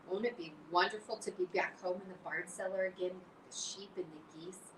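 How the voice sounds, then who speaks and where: A woman's voice: on the low side, steady tone, moderate pace, close to an everyday voice. A woman voicing an anxious, emotional young male pig who pleads and cries out, read as an audiobook. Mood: earnest. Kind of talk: audiobook reading. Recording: roomy, distant mic, faint hiss.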